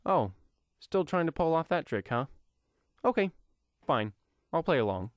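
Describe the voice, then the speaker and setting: A man's voice: a little high, very animated, unhurried, dry recording, voice pushed up. A man voicing a superhero's mocking, irritated brother in an audio comic story. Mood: grudging, sarcastic. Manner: mocking, exasperated.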